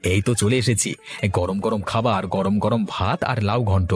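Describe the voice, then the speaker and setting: A man acting as a cartoon father with a lowish voice. He is brisk, practical and doting, speaking over faint background music. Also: steady tone, lightly acted. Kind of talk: Bengali cartoon story acting.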